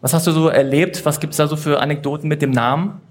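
A playful man hosts an on-stage interview, quick and teasing, throwing questions to the audience between prompts. His voice sits a little higher than most men's. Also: steady tone. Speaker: host. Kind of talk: casual live-audience interview.

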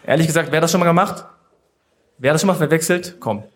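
A man hosting a casual interview segment in front of a live audience, joking and polling the crowd as he reads out his prompts. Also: fairly high pitch. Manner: playful, quick, polls the audience. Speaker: host.

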